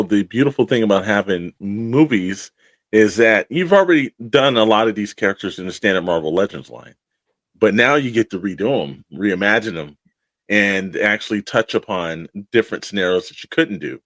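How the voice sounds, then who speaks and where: Lower-voiced man chatting on a podcast. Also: expressive, moderate pace, a bit bright, no echo. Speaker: podcast co-host. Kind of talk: casual toy-collector chat.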